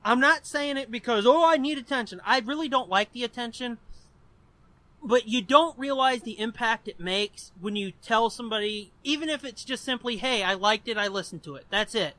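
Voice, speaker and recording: A man's voice: very high-pitched, moderate pace, bright, forceful tone, dry recording. A man co-hosting a podcast in casual, profane, rambling chat. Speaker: podcast co-host.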